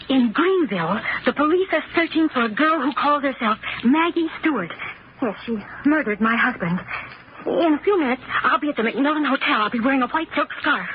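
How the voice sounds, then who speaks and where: An actress playing an anxious young woman, fretful and hesitant, in an old-time radio drama. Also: high voice. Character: anxious young woman caught up in a murder hunt. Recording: muffled, very noisy old radio recording.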